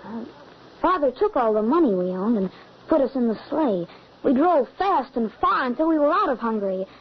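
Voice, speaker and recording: High-voiced, haunted young boy. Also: big pitch swings, normal speed, slightly rough, exaggerated voice. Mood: somber, recounting. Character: earnest, haunted eleven-year-old orphan boy. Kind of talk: old-time radio drama acting. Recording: muffled, hissy old radio recording.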